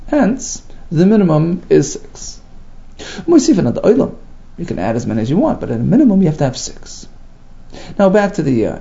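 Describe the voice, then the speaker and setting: Creaky sing-song man teaching Talmud. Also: a little high, mellow. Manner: sing-song, questioning, reads Hebrew then explains. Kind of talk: Talmud study lesson, reading and translating. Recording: dull lo-fi recording.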